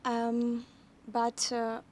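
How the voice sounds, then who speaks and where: A woman's voice: on the high side, completely flat delivery, extremely slow, a little mellow, slight background noise. Hesitant woman, native Russian speaker. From Ukraine. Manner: hesitant, many ums and uhs, searching for words. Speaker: guest learner. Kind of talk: casual interview.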